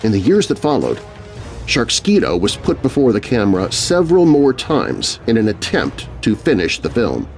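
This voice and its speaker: A man's voice: medium pitch, wildly swooping pitch, normal speed, darkish tone, soft background music. A man narrating a scary story for children in a theatrical, suspenseful, scripted style. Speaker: narrator and host.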